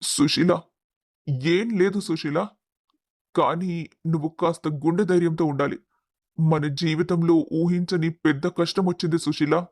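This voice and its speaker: A man's voice: on the high side, fairly even intonation, measured pace, long pauses, darkish tone. A man playing an earnest, grief-stricken middle-aged father in a melodramatic family story. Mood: distressed.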